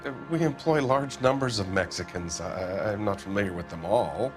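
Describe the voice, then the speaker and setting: An actor playing a pompous, evasive middle-aged factory owner who blusters and dodges questions, with music behind him. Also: male voice, fairly low pitch, moderate pace, natural voice. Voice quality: blustering, defensive. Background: music score.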